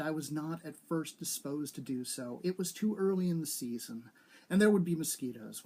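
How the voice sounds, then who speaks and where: Male story reader, voice a bit on the high side, for live literary narration. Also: a little mellow.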